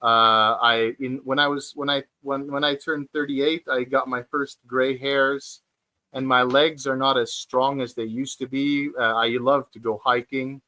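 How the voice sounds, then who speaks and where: A man of forty hosting a casual livestream chat from home, speaking hesitantly with many fillers and restarts and pausing to read out viewer comments. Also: medium pitch, level tone, sharp tone, poor audio. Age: 40s. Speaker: livestream host. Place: at home, sitting on the floor.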